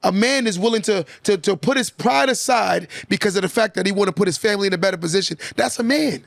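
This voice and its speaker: A man's voice: sits high, fast, vocal fry, dry recording. A man hosting a podcast, lecturing his listeners emphatically and repeating his key lines for effect. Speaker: podcast host. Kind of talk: casual podcast talk, motivational monologue.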